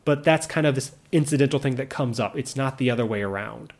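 A man's voice: medium pitch, quick pace. A man in his thirties, an English speaker, talking earnestly and reflectively about his own experiences, with frequent restarts and asides. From United States. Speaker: vlogger living abroad. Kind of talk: reflective personal monologue.

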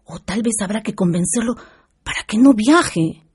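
An actress with a Peruvian accent playing a prudent, firm wife guarding the household money in a radio drama. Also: female voice, medium pitch, expressive, normal speed, over music, lightly acted. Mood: firm, worried. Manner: practical, firm.

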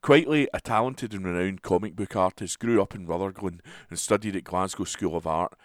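Low-voiced man reading the newspaper. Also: fairly quick, no echo, clean studio sound. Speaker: volunteer newspaper reader. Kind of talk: read-aloud newspaper article.

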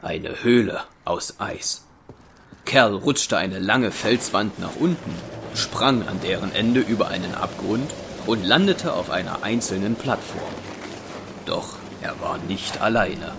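An actor as the sober narrator of an adventure audio drama, reading scripted narration. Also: male voice, on the low side, lively intonation, takes it slowly, creaky, noisy background, full character voice. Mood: sober, suspenseful.

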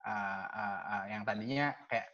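A man's voice: on the low side, measured pace, pushed and bright. A young man hosting a casual podcast chat, laughing often and asking probing questions. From Indonesia. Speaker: podcast host. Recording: dull lo-fi recording.

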